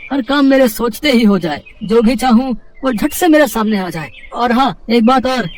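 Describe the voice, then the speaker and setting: A man's voice: very high-pitched, distant mic, slight background noise. A man voicing a poor, simple village husband, an eager and stubborn dreamer who stammers and complains, in a moral story.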